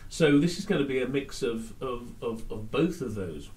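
A man's voice: mid-range voice, expressive, normal speed, darkish tone. Male actor, keen and chatty, recorded from a distance in an echoing room. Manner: enthusiastic, joking, rambling with restarts. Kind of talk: casual interview chat. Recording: roomy echo, distant from mic, faint noise.

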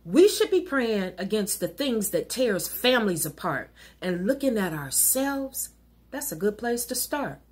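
A middle-aged woman with an American accent delivers rhyming spoken-word verse as a minister would. Her voice is husky and sits at a medium pitch. She places each phrase deliberately, leaning on key words with a preacher's cadence. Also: very animated, darkish tone. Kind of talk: rhyming spoken-word poetry recited as exhortation. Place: wood-panelled living room at home.

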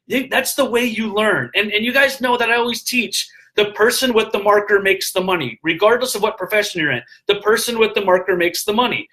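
A middle-aged man talking casually into a webcam from a hotel room, animated and full of fillers as he gives motivational business tips. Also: high-pitched, fairly quick, few pauses, rough recording. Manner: animated, gestures a lot, filler-heavy with frequent "all right?". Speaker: vlogger giving business tips. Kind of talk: casual motivational live stream. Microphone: webcam at desk distance.

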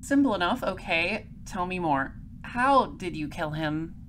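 A woman playing a polite, persistent space patrol detective in an audio drama, questioning in a formal, measured way. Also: lowish voice, lively intonation, fairly bright tone, slight background noise. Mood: probing. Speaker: lead detective and narrator.

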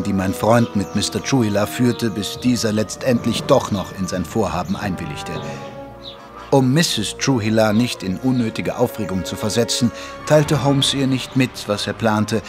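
An actor playing a Victorian gentleman doctor who narrates his detective friend's case in measured, literary audio drama style. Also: on the low side, lightly acted. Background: music under the voice.

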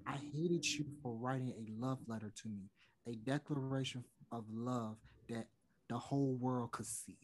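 A man's voice: medium pitch, fairly even intonation, moderate pace, close to an everyday voice. A young man playing a regretful, closeted touring musician, earnest and hesitant as he pleads to reconcile in a play read over video call. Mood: apologetic, confessional. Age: young adult. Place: small room at home, indoors.

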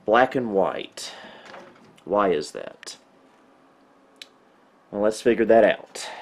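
Low-voiced man troubleshooting, noisy room. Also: darkish tone. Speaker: host of a retro computing video. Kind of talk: casual hands-on tech troubleshooting vlog. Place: indoors, small home room.

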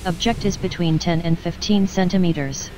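A woman's voice: on the low side, moderate pace, dark tone, a little hiss or hum. A synthetic female voice reading out short automated announcements. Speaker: automated voice prompt.

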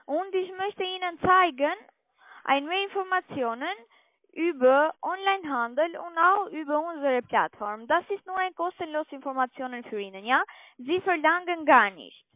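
A woman who speaks non-native, halting German, making a telephone sales pitch. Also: animated, no echo. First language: not German. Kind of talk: cold-call sales pitch. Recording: tinny narrowband phone line.

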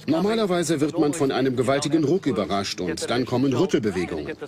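A man's voice: mid-range voice, animated, quick pace, a crowd in the background, natural voice. A man giving scripted explanations in a dubbed documentary.

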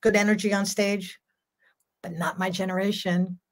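A woman's voice: mid-range voice, level tone, normal speed. Chatty older woman on a video call. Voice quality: strong voice. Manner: chatty, laughs often, rambling. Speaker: jazz singer, guest. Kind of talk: casual video-call interview. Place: home room.

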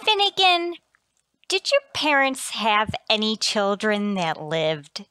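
Female actor for indignant, sharp-tongued barroom gal in vintage radio comedy; animated delivery, bright voice. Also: mid-range voice, takes it slowly, smooth, no room sound, heavily acted. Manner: haughty, indignant, snappy comebacks. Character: man-hungry, easily offended, sharp-tongued woman at a tavern. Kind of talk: 1940s radio comedy acting.

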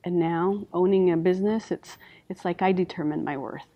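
A middle-aged woman from the Navajo Nation giving a thoughtful, measured personal testimony in an office. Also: lowish voice, steady tone, dark tone. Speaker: interviewee, business owner. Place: indoor office. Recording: slightly dull audio.

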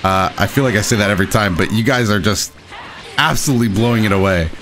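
A young adult man giving excitable, self-deprecating live commentary over his own gameplay as the host. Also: fairly low pitch, fast talker, music in the background. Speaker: gaming video host.

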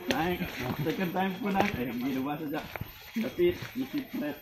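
A man's voice: sits high, expressive, unhurried, very noisy. A man chatting and joking with friends while hiking a forest trail, filming on a handheld phone. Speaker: hiker filming the hike. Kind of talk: casual chat while hiking. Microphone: handheld phone camera.

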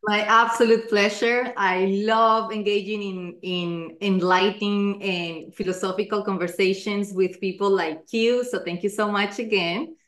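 A woman's voice: mid-range voice, steady tone, a little slow, few pauses, fairly bright tone. Colombia-born woman, roomy laptop audio. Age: 40s. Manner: earnest, many fillers and restarts. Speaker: guest, life coach. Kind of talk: video call interview. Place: living room at home.

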